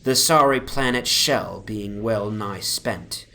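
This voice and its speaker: A man giving a solemn, deliberate dramatic narration of a literary horror story over an ambient soundscape. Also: on the low side, gravelly, sharp tone, a little hiss or hum.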